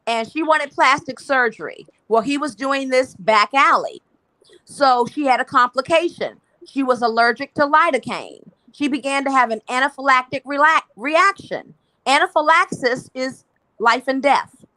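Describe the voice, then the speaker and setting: A woman's voice: sits high, normal speed, sharp tone, dry recording, low-quality recording. A woman on an online panel, speaking bluntly and asking pointed questions.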